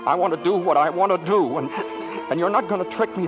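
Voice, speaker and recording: A man's voice: high-pitched, fast, music in the background, natural voice. An actor playing a stubborn, flustered young man in a 1940s radio drama, hesitant and defensive as he talks. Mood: angry, breaking down. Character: stubborn, flustered young bachelor fighting his feelings. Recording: muffled, noisy old radio broadcast.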